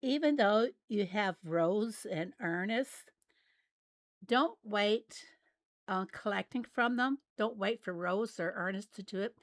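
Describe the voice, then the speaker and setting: A woman with a raspy voice, chatting casually with viewers while she plays a farming game. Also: mid-range voice, no room sound. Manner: unhurried, chatty, reads out and answers viewer messages. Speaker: game livestreamer. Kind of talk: casual livestream chat while playing.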